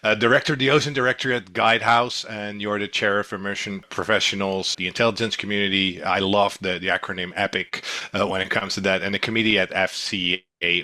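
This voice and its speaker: A man's voice: on the low side, sharp tone. A middle-aged man with a Dutch accent hosting a podcast interview, eager and enthusiastic. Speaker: podcast host. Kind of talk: casual interview chat.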